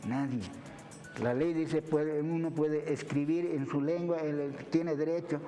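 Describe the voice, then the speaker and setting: A man's voice: a little high, steady tone, a little slow, mellow, long reverb tail, faint music behind. A man with a Bolivian accent, a university lecturer explaining things didactically as an interview guest, punctuating his sentences with tag questions. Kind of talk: explanatory television interview talk.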